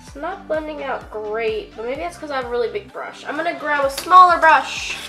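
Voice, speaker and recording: A woman's voice: high voice, expressive, a little fast, sharp tone, faint music behind. A young woman with a congested, cold-stuffed voice, chatting casually through a makeup tutorial with lots of fillers and the odd curse. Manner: chatty, filler-heavy, offhand swearing. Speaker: beauty vlogger.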